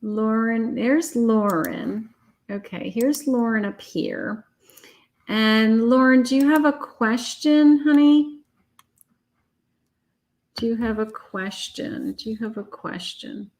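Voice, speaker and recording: A woman's voice: a little high, slow, a little mellow. Chatty woman reading tarot live. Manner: chatty and reassuring, calls viewers honey and sweetheart. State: losing her voice. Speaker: psychic tarot reader.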